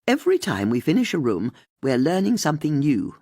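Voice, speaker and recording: A narrator voicing a brisk, confident, determined older woman in an audiobook mystery. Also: mid-range voice, huge pitch swings, darkish tone, dry recording, clean studio sound. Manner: brisk, decisive, inquisitive.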